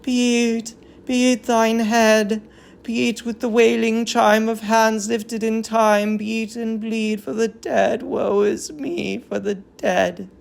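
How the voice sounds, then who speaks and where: A woman's voice: fairly high pitch, fairly even intonation, takes it slowly, vocal fry, darkish tone. A woman voicing a chorus of devoted, mournful captive women, lamenting in chanted verse in a Greek tragedy reading. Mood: wailing.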